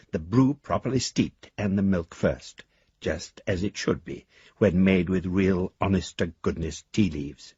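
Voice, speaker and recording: An actor playing a courteous, shy, rambling English gentleman reading his letter in a radio drama, formal and gently whimsical. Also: lowish voice, breathy edge, no room sound, dull audio, full character voice. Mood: warmly bashful.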